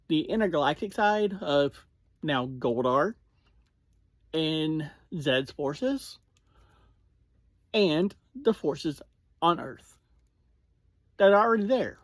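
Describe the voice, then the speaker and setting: A podcast host gives a casual, conversational review at a slow pace. The delivery is halting, broken into short phrases. The voice sits at a medium pitch and is recorded close and dry, with very little room sound. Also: no room sound. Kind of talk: casual episode review and recap.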